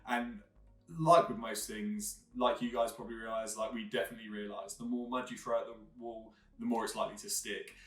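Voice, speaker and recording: Host with a lowish voice in an echoey room, mic at a distance, faint music underneath, stacking repeated phrases. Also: male voice, level tone, a little fast. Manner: repetitive, listing phrases. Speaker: online business host. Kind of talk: video intro to camera.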